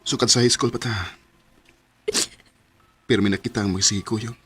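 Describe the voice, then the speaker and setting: A man playing an apologetic, guilt-ridden young boyfriend in a radio drama, husky-voiced, with a Cebuano Filipino accent. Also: lowish voice, steady tone, normal speed, pushed and bright, no echo, full character voice. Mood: pained, apologetic. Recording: music under the voice.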